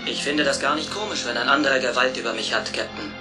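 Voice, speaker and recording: A wisecracking little male robot, played by a man, tosses out cheeky quips with plucky self-assurance. The audio drama scene is heavily underscored, with loud music right behind the voice. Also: medium pitch, quick pace, natural voice. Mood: indignant. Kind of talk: German audio drama acting.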